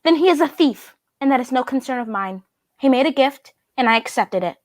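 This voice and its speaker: A woman playing a flirtatious, quick-tempered society hostess, theatrical and imperious, in a radio drama. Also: sits high, animated, no room sound, studio quality. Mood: indignant.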